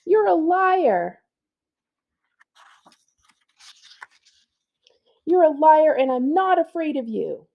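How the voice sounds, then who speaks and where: A brash, defiant young girl with a high, piping voice, put on by a woman reading a storybook aloud. She talks back boldly, with a slight gravelly catch underneath. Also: very animated, measured pace, narrow, tinny audio, full character voice. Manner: high, bold, shaky at first.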